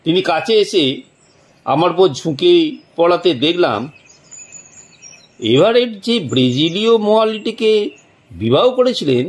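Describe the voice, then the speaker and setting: A man narrating a suspense story from a script in a dramatic, deliberate way, with long pauses. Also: high voice, sing-song.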